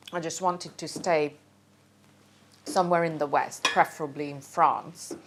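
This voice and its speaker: A middle-aged woman with a Hungarian accent tells her own story casually and haltingly, thinking aloud as a documentary interviewee. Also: sits low. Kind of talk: casual personal storytelling to camera.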